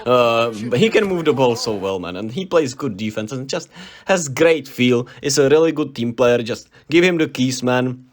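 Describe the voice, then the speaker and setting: A man's voice: mid-range voice, lively intonation, normal speed. A young man from the Czech Republic with a Czech accent, giving a casual, rambling basketball recap, enthusiastic and often correcting himself on names. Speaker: basketball vlogger. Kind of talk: casual unscripted sports recap.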